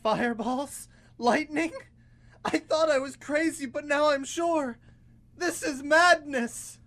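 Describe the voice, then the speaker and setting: Man playing a slow, emphatic school gunman. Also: very high-pitched, fairly bright tone, exaggerated voice. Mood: frantic disbelief. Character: tough mocking gunman who grows awed and frightened. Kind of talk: student live radio theatre acting. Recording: noisy live radio play with gunfire effects.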